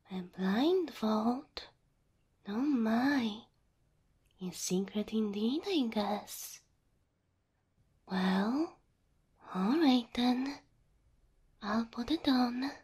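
High, soft, whispery cow girl girlfriend acted by a woman. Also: full character voice. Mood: soft, curious, trusting. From Slavic country. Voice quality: breathy, often whispering. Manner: tender, slow, full of wonder. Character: gentle, affectionate, easily delighted cow girl girlfriend. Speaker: ASMR roleplay performer. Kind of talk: ASMR roleplay.

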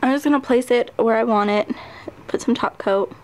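A woman's voice: fairly high pitch, normal speed. A woman chatting casually through a nail polish review, full of ums and little laughs. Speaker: nail art vlogger. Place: home table, hands on camera.

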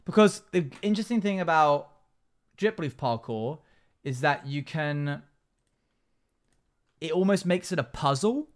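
A man in his twenties giving casual, chatty commentary on a game stream, reading out chat messages and replying. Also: a little high. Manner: chatty, reads chat messages aloud and answers. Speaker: live streamer. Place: small home room, indoors.

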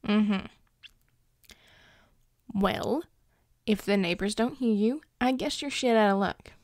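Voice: mid-range voice, takes it slowly, no echo, lightly acted. An actor playing a grumpy but caring werewolf boyfriend in an intimate audio roleplay, dry and exasperated, with sighs. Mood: sarcastic, teasing. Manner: sighing, dry, exasperated, teasing.

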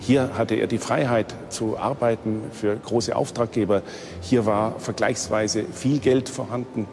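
A man explaining as an expert in an unscripted documentary interview, with hesitant, measured delivery. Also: on the low side, steady tone, darkish tone, faint music behind. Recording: long hall-like reverb.

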